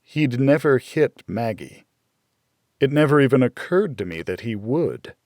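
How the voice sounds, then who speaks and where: A man reading first-person fiction as an audiobook narrator, in a measured, dramatic storytelling manner. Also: medium pitch, very animated, a little mellow, dry recording, clean studio sound.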